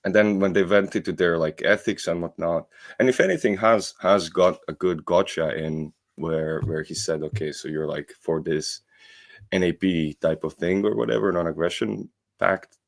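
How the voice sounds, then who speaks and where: A man from Croatia with a Croatian accent, talking casually about politics as a guest, often repeating his phrases haltingly. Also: deep voice, vocal fry. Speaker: guest commentator.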